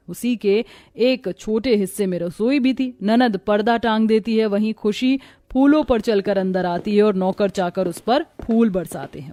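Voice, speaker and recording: A woman reading scripted narration for an animated moral story. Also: on the high side.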